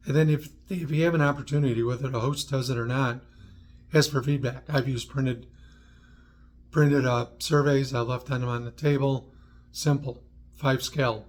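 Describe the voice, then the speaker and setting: A man from New York with an American accent, presenting an instructional talk in a conversational way, with short clipped phrases and dry asides. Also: medium pitch. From New York, United States. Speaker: presenter of a how-to video series on public speaking.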